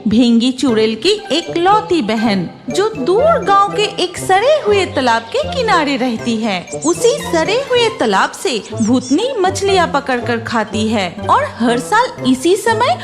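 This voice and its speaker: High, animated woman storyteller. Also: a little slow, few pauses, over music. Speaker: narrator. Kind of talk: scripted storytelling narration for a cartoon.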